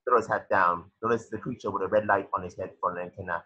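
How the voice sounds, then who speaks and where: A man's voice: low voice, steady tone, quick pace, pushed and bright, slightly rough, highs cut off. A man narrating a cartoon script's stage directions in a matter-of-fact way, sometimes stumbling over the words. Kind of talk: reading a cartoon script aloud.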